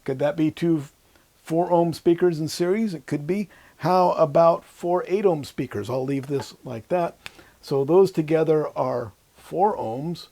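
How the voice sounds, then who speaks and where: An older man gives an informal technical lesson, thinking aloud as he explains. His voice sits a little high for a man, with a soft, mellow tone and a noticeable creak. He is close-miked on a lapel in a small room. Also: unhurried. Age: 60s or older. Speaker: electronics instructor. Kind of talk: explanatory whiteboard lecture. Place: small indoor room at a whiteboard. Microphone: lapel mic.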